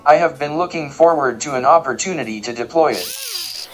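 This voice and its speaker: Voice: mid-range voice, huge pitch swings, moderate pace, soft background music, rough recording. An actor playing a polite, easily offended robot cook who speaks in formal, technical terms in an audio drama. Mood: precise, matter-of-fact. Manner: formal, precise, technical wording. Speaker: diner cook and cleaning unit.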